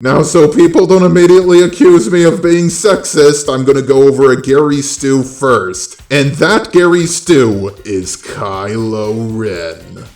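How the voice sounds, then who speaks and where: A man's voice: medium pitch, a little mellow. A synthetic, robotic male voice reading an opinionated, tongue-in-cheek scripted video essay. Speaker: host of a video essay channel.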